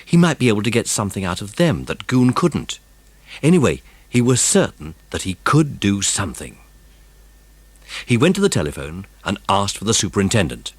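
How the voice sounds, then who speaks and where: A man's voice: lowish voice, very animated, normal speed, slightly rough, no echo. A man with a British accent narrating a children's audiobook as a scripted, dramatised story.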